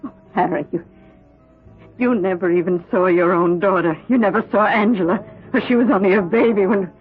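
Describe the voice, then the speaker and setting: An actress playing an anxious, tenderhearted middle-aged woman in a vintage radio drama, with a husky, halting delivery. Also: medium pitch, soft background music, close to an everyday voice. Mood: tender, hesitant. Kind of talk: 1970s radio drama acting. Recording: tinny, band-limited old radio broadcast.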